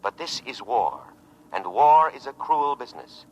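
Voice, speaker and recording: An actor playing a calm, pompous, paranoid war machine, a talking lifeboat, with solemn, measured oratory in an old radio drama. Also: male voice, mid-range voice, slightly rough. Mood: solemnly consoling. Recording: muffled vintage radio broadcast recording.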